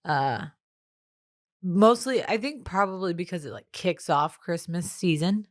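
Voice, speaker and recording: A woman co-hosting a podcast in casual chat, with a somewhat deep, nasal voice and a dry, matter-of-fact manner. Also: animated, measured pace, no echo. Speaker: podcast co-host.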